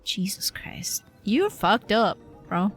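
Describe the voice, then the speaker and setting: A woman's voice: medium pitch, big pitch swings, slow pace, breathy edge, faint music behind. A woman livestreaming a game with casual, slangy, sweary reaction commentary in a playful, irreverent manner.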